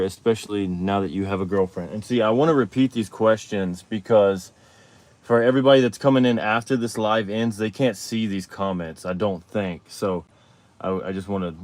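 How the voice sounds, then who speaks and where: A man's voice: fairly low pitch, no room sound. A man, chatting casually as host of his own livestream, rambling and reading viewer questions aloud. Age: 30s.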